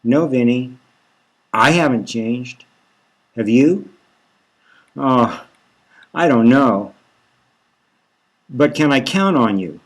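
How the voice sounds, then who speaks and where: A man narrates dark, satirical fiction in the first person at a very slow pace. His mid-pitched voice moves in a halting, brooding way, with dramatic weight given to short phrases. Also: lively intonation. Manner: brooding, halting delivery with rhetorical questions. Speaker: author reading his own novel.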